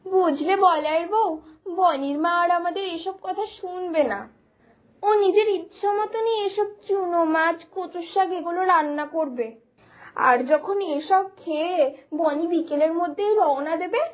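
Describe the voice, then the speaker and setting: A woman playing a bossy, scolding village neighbour in a cartoon, nagging and know-it-all. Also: extremely high pitch. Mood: disapproving. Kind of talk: cartoon story acting.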